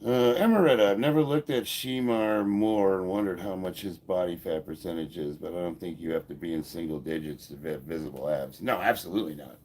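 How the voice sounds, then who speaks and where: A deep-voiced American man in his fifties talks casually to his livestream viewers. He sounds stuffed up from a head cold, and he answers comments bluntly, with swearing and easy laughter. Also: fairly quick, few pauses, darkish tone. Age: 50s. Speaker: livestream host and powerlifter.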